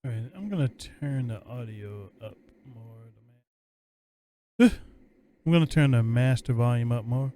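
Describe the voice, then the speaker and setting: A man's voice: medium pitch, lively intonation, a little slow, warm tone. A man casually commenting on a horror game as he plays, musing aloud in a laid-back way. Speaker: gameplay streamer.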